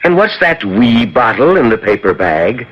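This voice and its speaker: Jaunty doctor, teasing, lively pitch, radio drama, dull recording. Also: male voice, normal speed. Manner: playful, bantering. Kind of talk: 1970s radio drama acting. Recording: dull, lightly noisy old radio recording.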